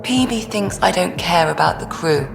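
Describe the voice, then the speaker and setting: A woman's voice: on the low side, animated, moderate pace, gravelly, roomy sound, music in the background. A woman playing a defensive, brooding ship's doctor in video game dialogue, dry and sardonic.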